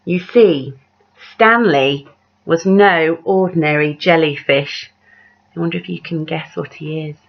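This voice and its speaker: A woman reads a picture book to young children with unhurried, dramatic delivery. Her voice sits a little low, and she pauses to put questions to her listeners. The sound is slightly dull and lo-fi. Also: vocal fry. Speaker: teacher reading a picture book aloud. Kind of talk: expressive children's story reading with asides to young listeners.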